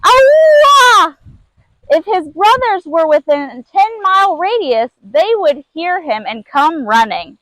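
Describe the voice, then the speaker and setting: High-voiced woman reading a storybook. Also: animated, a little slow, hardly any pauses, no echo, rough recording. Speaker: zoo keeper reading a story. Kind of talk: children's storybook read-aloud.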